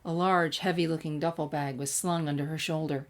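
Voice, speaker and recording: A woman narrates a story with expression. Her voice sits low for a woman, and she reads from a script as a storyteller would. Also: steady tone, a little fast. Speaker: fan fiction reader.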